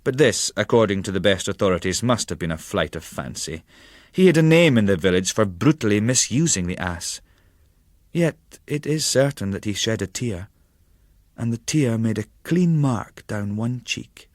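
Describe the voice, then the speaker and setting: Low-voiced man reading a literary audiobook. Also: expressive, normal speed, no echo, studio quality. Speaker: audiobook narrator.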